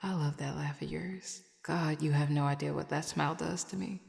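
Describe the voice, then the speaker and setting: A woman's voice: low-pitched, fairly even intonation, moderate pace, gravelly, darkish tone, husky, exaggerated voice. A woman voicing a flirty, affectionate Southern werewolf in an intimate audio roleplay, with a casual, teasing Southern US drawl. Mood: tender, flirty. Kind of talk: intimate audio roleplay, one-sided conversation.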